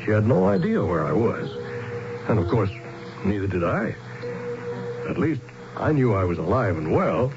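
An actor playing a skeptical, down-to-earth outsider with a plain-spoken, wry manner in a radio drama. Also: male voice, fairly low pitch, big pitch swings, moderate pace, creaky, pauses often. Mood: worried, reflective. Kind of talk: radio drama acting with first-person narration. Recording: muffled radio broadcast with music.

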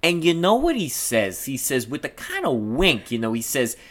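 A woman's voice: a bass voice, very animated, normal speed, exaggerated voice. A woman reading the part of a boastful, loyal, dim male beat cop in a play, with folksy, self-important, ungrammatical speech. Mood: proud. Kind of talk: script read-through of a play.

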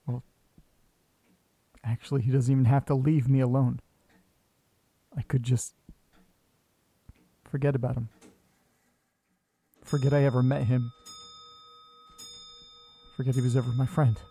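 An actor playing an anxious, lonely, self-doubting man who talks haltingly to himself in a horror audio drama. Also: medium pitch, flat delivery, dark tone, husky, no room sound. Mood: brooding, dejected. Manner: halting, muttering, talking to himself. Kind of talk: audio drama monologue, naturalistic acting.

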